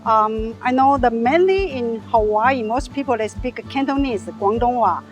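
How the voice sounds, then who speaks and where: A woman with a Taiwanese accent, whose first language is Taiwanese, answering interview questions as a Medicare agent in earnest, halting English. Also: on the high side, expressive, soft background music.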